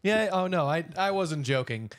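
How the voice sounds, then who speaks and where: A man co-hosting a podcast banters casually with animated, widely varying pitch. His delivery is teasing and wry, recorded dry with no room sound. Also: normal speed, no room sound. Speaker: podcast co-host.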